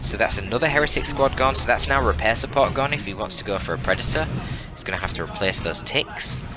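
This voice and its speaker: Man, very low voice, esports commentary, analytical, informal, exclamatory, mildly sweary, prominent background music. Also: animated, quick pace. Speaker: esports caster, channel host.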